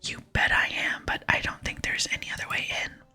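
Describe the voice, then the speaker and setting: A woman voicing a boastful, scheming female archaeologist from a game in a breathy whisper. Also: sharp tone, faint music behind. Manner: self-important, brisk. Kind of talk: whispered ASMR reading of game dialogue.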